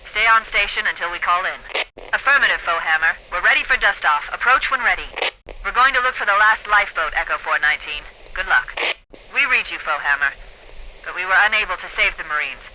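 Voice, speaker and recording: A woman's voice: on the low side, expressive, brisk, pushed and bright, slight background noise, rough recording. An actress playing a composed, authoritative female military artificial intelligence in a video game, giving clipped commands through a radio filter. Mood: brisk, authoritative. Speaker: AI tactical radio coordinator.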